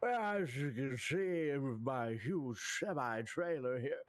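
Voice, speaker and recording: A man's voice: mid-range voice, expressive, slow. A man voicing a bumbling, self-important trucker in improvised game roleplay, with earnest, repetitive salesman patter. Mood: eager. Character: bumbling, self-important male trucker hawking big business.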